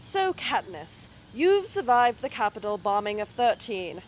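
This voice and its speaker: A woman's voice: on the high side, big pitch swings, measured pace. A woman playing a persistent film crew director in an amateur radio drama, coaxing and encouraging. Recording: faint background ambience noise.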